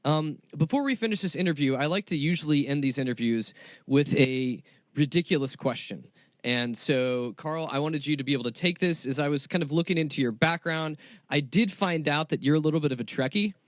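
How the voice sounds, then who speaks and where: A man's voice: fairly high pitch, brisk, no room sound, clean studio sound. A man hosting an interview, talking conversationally as he addresses his guest and listeners. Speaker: podcast interview host.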